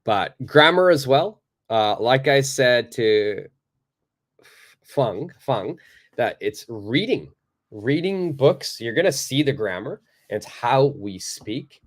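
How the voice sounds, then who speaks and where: A male native English speaker teaching a live online English lesson, answering viewers' questions in a relaxed, chatty way with lots of fillers. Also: mid-range voice, slow pace. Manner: relaxed and conversational, many fillers, acts out little examples.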